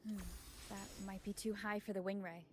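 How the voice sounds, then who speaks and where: An actress voicing a composed, purposeful female fighter in a video game, with calm, measured delivery. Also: medium pitch, lightly acted. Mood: calm, pondering. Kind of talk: video game voice acting, short battle lines. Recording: quiet game audio under noisy ambience.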